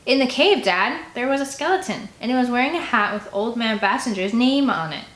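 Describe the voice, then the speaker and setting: A woman voicing an excitable, indignant young girl in an audiobook. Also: a little high, fairly quick, echoey room, pitched above the performer's own voice. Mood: insistent.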